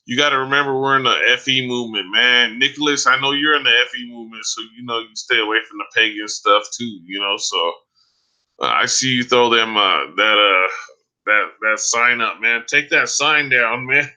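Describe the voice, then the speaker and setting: Bright-voiced man losing his voice. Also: mid-range voice, normal speed, rough recording. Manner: loose and rambling, many fillers and restarts; addresses viewers by name, says "man" often. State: voice going hoarse. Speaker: live-stream vlogger. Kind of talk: casual live-stream chat reading the chat.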